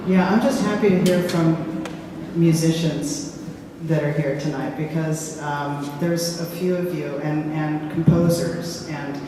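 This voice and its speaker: A woman filmmaker speaking casually in a public discussion, halting and searching for words with frequent restarts. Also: sits low, steady tone. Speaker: filmmaker in a post-screening discussion. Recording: echoey hall from far off, faint hiss.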